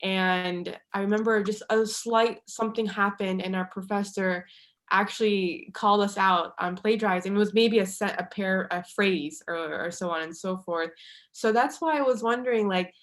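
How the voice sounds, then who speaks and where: Young woman student chatting on a call. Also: medium pitch. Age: young adult. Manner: chatty, rambling, hedging. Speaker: university student panelist. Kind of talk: casual panel discussion. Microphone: video call.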